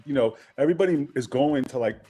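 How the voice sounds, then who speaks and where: A middle-aged man from Queens, New York, a guest in a casual interview, relaxed and candid, laughing often. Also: mid-range voice, fast, breathy edge.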